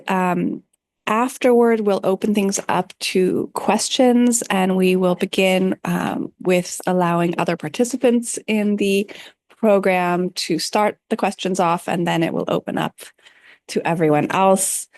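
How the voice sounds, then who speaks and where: A woman chairing an academic panel, introducing speakers in a measured way with frequent fillers. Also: lowish voice, vocal fry, darkish tone, dry recording. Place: conference room.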